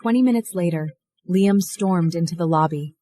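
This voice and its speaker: A woman's voice: fairly low pitch, moderate pace, warm tone, dry recording, close to an everyday voice. A woman giving scripted dramatic narration in an audio drama.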